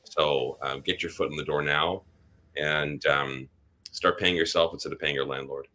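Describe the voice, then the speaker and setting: A man's voice: a bass voice, fairly even intonation, normal speed, sharp tone. A man who grew up in Germany, hosting a live stream as a realtor, chatting in a rambling way with many fillers. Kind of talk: casual livestream chat.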